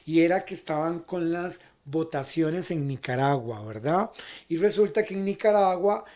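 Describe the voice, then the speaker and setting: A man with a Costa Rican accent hosts a conversational interview show. His voice sits a little high for a man, with a very mellow, dark-edged tone. He often rounds off phrases with a short checking question. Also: animated, normal speed. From Costa Rica. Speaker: talk show host.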